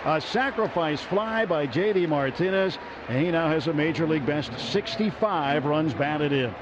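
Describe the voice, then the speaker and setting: Male play-by-play caller, fairly high voice, muffled radio sound with ballpark noise behind. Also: normal speed. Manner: steady, rises sharply on big plays. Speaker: play-by-play announcer. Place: ballpark broadcast booth.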